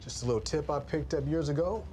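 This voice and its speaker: A man playing a calm, seasoned stage actor mentoring his partner in naturalistic film dialogue. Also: a little high, fast, background noise, natural voice. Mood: reassuring.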